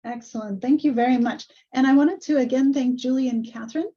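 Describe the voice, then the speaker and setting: A woman hosting an online webinar over a video call, a little hoarse, friendly and full of ums. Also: high-pitched, fairly quick, mellow. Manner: friendly, many ums and uhs. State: slightly hoarse. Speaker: webinar host. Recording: muffled video call audio.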